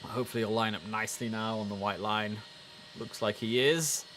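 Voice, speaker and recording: A man's voice: lowish voice, fairly quick, rough recording. A man hosting a casual game livestream, chatting away and slipping into clipped air traffic radio phrasing. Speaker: livestream host. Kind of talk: casual game streaming commentary. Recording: steady background noise.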